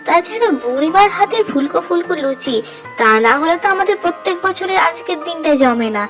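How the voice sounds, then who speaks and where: A woman's voice: very high-pitched, lively intonation, brisk, music in the background. A woman voicing an eager, chatty young village woman in a Bengali cartoon fairy tale. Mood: proud, delighted.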